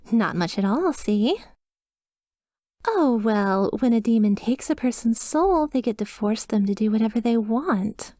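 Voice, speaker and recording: A woman's voice: on the high side, warm tone, no echo, clean studio sound. A woman playing a cheerful demon receptionist in an ASMR roleplay, with polished, reassuring customer-service politeness and a slyly sinister undertone. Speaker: hotel receptionist character.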